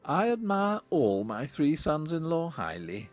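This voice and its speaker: A man playing a wry, fond older English gentleman father with a measured, dryly humorous manner, in a dramatized audiobook of a period novel. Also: fairly high pitch, huge pitch swings, faint music behind.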